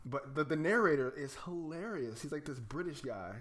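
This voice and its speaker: Animated, bright-voiced man bantering casually. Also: a little high, normal speed. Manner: jokey, excitable. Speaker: podcast co-host.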